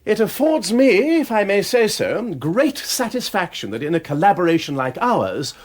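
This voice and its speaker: A man's voice: high-pitched, very animated, moderate pace. A man playing a nimble-witted aristocratic detective in a British radio drama, with an upper-class English accent and an airy, wordy, self-deprecating manner. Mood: smug, airy. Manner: airy, wordy, drily teasing.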